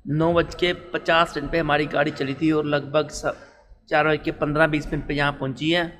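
A man's voice: fairly high pitch, little pitch movement, normal speed, a bit bright, big-room sound, a little hiss or hum. A man in his thirties chatting casually to viewers in a travel vlog, recorded on a phone in a station waiting area. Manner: chatty, addresses viewers as friends. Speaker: travel vlogger.

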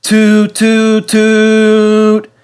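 A man voicing an excitable, grumbling, determined bus in a children's storybook, exclaiming and wailing. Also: sits high, drawn-out words, clean tone, pitched above the performer's own voice. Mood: excited tooting.

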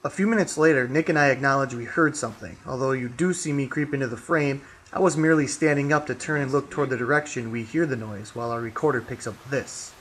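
A man of average pitch narrates a paranormal investigation. He reads scripted narration, with a little noise sitting behind his voice. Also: fast talker, few pauses, a bit bright. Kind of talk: scripted narration of a ghost hunt.

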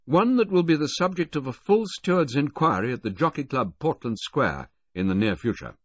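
A stern older brigadier, put on by a man reading a story, lays out charges in clipped, formal sentences. The voice is husky and climbs and falls in a lively way. The recording is dry and a little dull. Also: mid-range voice, moderate pace, dry recording, pitched above the performer's own voice. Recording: dull lo-fi, dry close audio.

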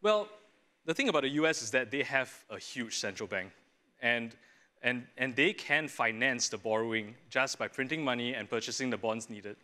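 Young guy on a finance panel, bright sharp voice, explains things step by step, lapel mic. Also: mid-range voice, normal speed. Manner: fluent, explanatory, with small restarts. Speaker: investment strategist panelist. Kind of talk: panel discussion answer. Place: studio stage set.

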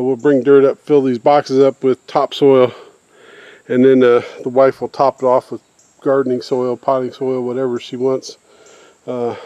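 A man's voice: fairly high pitch, a little mellow, no echo, muffled recording. Plain-spoken man filming his backyard build. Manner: rambling, plain-spoken, lots of uh fillers. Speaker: DIY builder explaining his project. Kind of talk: casual how-to vlog narration. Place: outdoors in a backyard garden.

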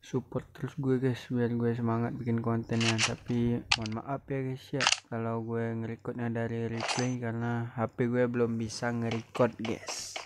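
A young man from Indonesia, hoarse from a cough, chatting casually to viewers while commenting on a game replay. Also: mid-range voice, monotone, moderate pace, very noisy. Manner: slangy, chatty, keeps addressing viewers. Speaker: gaming YouTuber.

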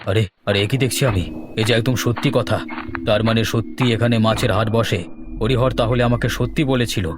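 A man's voice: mid-range voice, fairly even intonation, moderate pace, over music, natural voice. A man voicing a stubborn old village headman in a horror cartoon. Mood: frightened, flustered.

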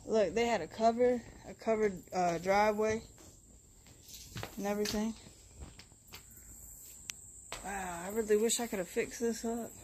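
A woman's voice: mid-range voice, fairly even intonation, moderate pace, darkish tone, slight background noise. Woman, casual explorer vlog, handheld phone mic, empty old house, swears a lot. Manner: chatty, swears freely, thinks aloud. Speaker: urban explorer vlogger. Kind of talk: casual walkthrough narration. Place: abandoned wooden house, indoors and on porch.

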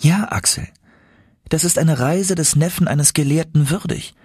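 A man voicing a gentle young girl, raised pitch. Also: unhurried, breathy edge, no room sound, studio quality. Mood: earnest, encouraging. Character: gentle, brave, encouraging young sweetheart girl.

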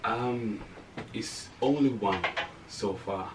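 A man's voice: lowish voice, takes it slowly, recorded from a distance. A younger man, talking casually as an interview guest. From Jamaica. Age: younger adult. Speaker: band frontman, interview guest. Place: small room, indoors. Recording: echoey room, off-mic, faint noise.

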